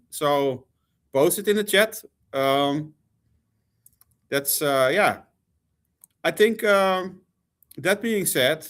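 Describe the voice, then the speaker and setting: A man's voice: mid-range voice, fairly bright tone. A middle-aged man from the Netherlands with a Dutch accent, giving a conference presentation with many fillers and restarts. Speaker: conference speaker. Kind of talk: presentation talk.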